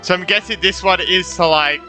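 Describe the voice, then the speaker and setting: A man's voice: high-pitched, brisk. A man giving casual live commentary as he plays a game, in a hesitant, trailing way with repeated phrases. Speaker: gaming streamer. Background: game music.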